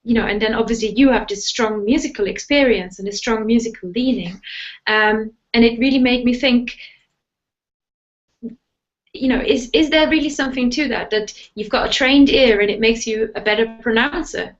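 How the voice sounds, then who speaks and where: A woman's voice: on the high side, normal speed, highs cut off. A woman with a German accent, a native German speaker, hosting a live interview and asking musing questions with many fillers. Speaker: host.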